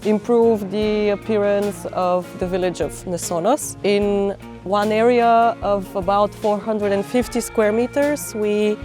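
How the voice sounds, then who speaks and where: A woman from Bulgaria, a volunteer describing a tree planting in a measured, informative way. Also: medium pitch, fairly even intonation, a little mellow. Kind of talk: documentary interview. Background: guitar music.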